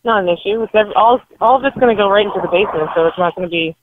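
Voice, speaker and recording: A woman's voice: medium pitch, rapid-fire, noisy background. A woman talking unscripted on the telephone, blunt and no-nonsense, over a lo-fi line. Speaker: yard sale seller answering a prank call. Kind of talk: unscripted phone conversation.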